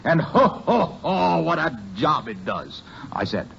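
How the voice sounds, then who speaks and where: A jolly, high-pitched radio salesman. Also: sing-song, unhurried, gravelly, exaggerated voice. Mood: jolly, boastful. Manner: jolly sales-pitch delivery, chuckling boastfully. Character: cheerful, self-satisfied salesman pal boasting about playing Santa. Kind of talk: 1950s radio comedy acting. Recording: noisy muffled 1950s radio recording.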